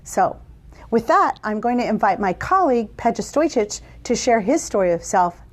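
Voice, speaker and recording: A woman's voice: fairly high pitch, big pitch swings, moderate pace. Encouraging woman facilitator in an office. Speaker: workshop facilitator. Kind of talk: instructional talk to camera.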